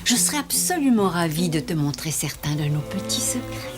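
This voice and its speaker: A woman voicing a kindly old lady in a French cartoon, refined, gentle and doting as she mentors a youngster. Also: lowish voice, very animated, moderate pace, music in the background. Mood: encouraging. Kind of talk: French-dubbed cartoon acting.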